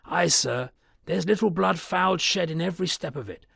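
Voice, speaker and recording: Man doing a scared old butler, high strained gravelly voice, dry close sound. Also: moderate pace, a bit bright, no echo, full character voice. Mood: fearful. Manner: anxious, earnest, dread-filled. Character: old male butler, fearful and hushed. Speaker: servant in a story.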